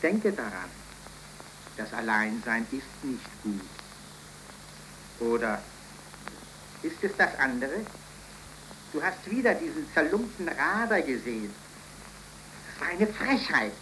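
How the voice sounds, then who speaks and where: An actor playing a stern, sanctimonious suitor with a solemn, declamatory, insistent delivery in a 1930s radio play. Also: male voice, fairly high pitch, sing-song, measured pace, pauses often, bright, forceful tone, full character voice, low-quality recording. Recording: hissy 1930s radio recording.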